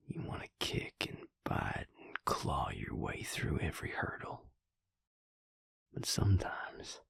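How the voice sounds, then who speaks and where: Audio roleplay vampire mate, played by a man: weary, tender, reassuring, half-whispered and gravelly, in a very dry, close sound. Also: no echo, heavily acted. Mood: firm, resolute. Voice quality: breathy, gravelly low voice. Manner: hushed, slow, deliberate, reassuring. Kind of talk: intimate audio roleplay monologue.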